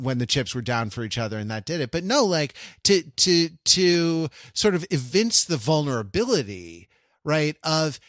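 A man co-hosting a podcast in casual chat, reflective and halting, with many restarts and fillers. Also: medium pitch, a bit bright, dry recording, clean studio sound. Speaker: podcast co-host.

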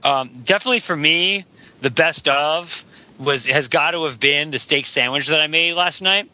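A man co-hosting a podcast in casual chat, a little hoarse, teasing and cracking jokes. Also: on the high side, moderate pace, pushed and bright. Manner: joking, teasing banter. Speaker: podcast co-host.

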